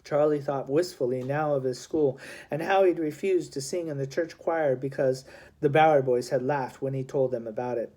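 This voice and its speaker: A man narrates a storybook as an audiobook reader. His voice is mellow and sits at a middling pitch, delivering scripted prose. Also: moderate pace.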